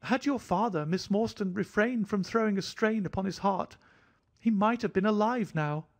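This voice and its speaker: A man voicing a jittery, fussy little man of about thirty, an anxious hypochondriac with a thin, high voice. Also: animated, normal speed. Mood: fretful. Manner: fidgety, effusive, fretful.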